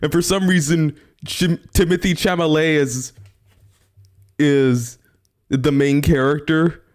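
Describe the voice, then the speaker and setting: Casual man reacting out loud. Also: on the high side, steady tone, slow. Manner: informal, amused, thinking aloud. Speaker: reactor, streamer. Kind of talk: casual live reaction commentary.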